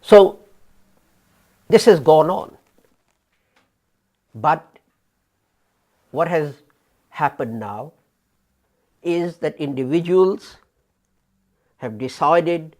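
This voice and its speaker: Middle-aged imam, slow measured sermon voice, builds emphasis then drops off. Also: male voice, a little high, animated, a little mellow. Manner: deliberate, slow preaching with rising emphasis and pauses. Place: small studio before a printed backdrop. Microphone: fixed camera, head and shoulders framing.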